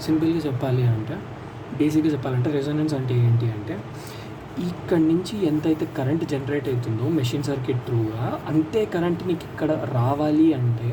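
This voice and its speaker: A man with an Indian accent giving an informal explanatory lesson as a lecturer, repeating and rephrasing key points step by step. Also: on the high side. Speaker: physiotherapy lecturer. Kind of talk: informal explanatory lesson, mixing English terms into Telugu. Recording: distant mic, loud background vehicle noise.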